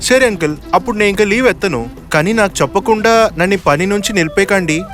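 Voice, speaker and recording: A man voicing a nosy, indignant young male waiter in an animated moral story. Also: high voice, fast, soft background music. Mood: pleading.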